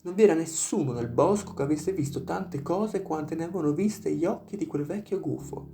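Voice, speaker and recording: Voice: mid-range voice, moderate pace, gravelly. A storyteller reading a scripted fairy tale narration over instrumental music.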